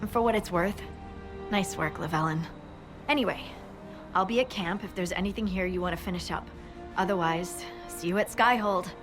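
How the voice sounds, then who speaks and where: An actress playing a wry, friendly young scout in a fantasy game, speaking in a conversational, teasing way. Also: female voice, fairly low pitch, moderate pace, over music, close to an everyday voice. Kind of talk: naturalistic fantasy video game acting.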